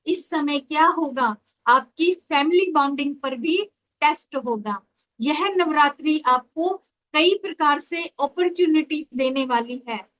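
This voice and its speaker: A woman giving a devotional lecture as a spiritual teacher, in a measured, devout, instructive manner. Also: high-pitched, pushed and bright, low-quality recording. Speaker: spiritual teacher and astrologer leading an online session. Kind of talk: devotional lecture presenting with slides.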